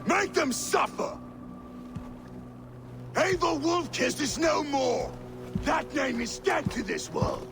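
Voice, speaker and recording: Gravelly, gloating male warlord. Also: very high voice, animated, over music, exaggerated voice. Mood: roaring triumph. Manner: theatrical, drawn-out menace. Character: cruel gloating male warlord, menacing and domineering. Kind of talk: dramatic video game cutscene acting.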